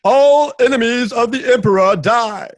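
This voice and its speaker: A man voicing an aggressive, commanding Roman guard shouting orders in an amateur radio play. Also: very high-pitched, unhurried, dry recording, voice pushed up. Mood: furious.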